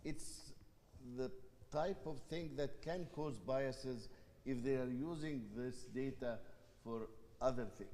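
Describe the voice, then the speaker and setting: A man with an Egyptian accent moderating a university panel discussion, speaking in a thoughtful, halting way with frequent restarts. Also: medium pitch, warm tone. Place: university event hall. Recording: long hall reverb, faint background noise.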